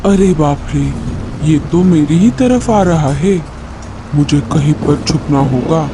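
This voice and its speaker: A man voicing a small, gentle mother bird who speaks earnestly and politely in a children's cartoon. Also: a little high, lively intonation, measured pace, noisy background. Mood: alarmed. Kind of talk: Hindi children's cartoon dialogue.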